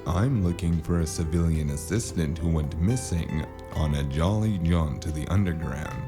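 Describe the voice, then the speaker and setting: A man narrating a noir audio drama as the lead, dry and wry, with a deadpan manner, over a music score. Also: a bass voice, normal speed. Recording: music score playing underneath.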